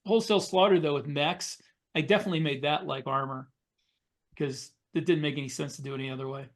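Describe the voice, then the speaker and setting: Man, American accent, slightly high voice, casual discussion, co-host. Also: fairly even intonation, fast talker. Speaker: podcast co-host, military science fiction author.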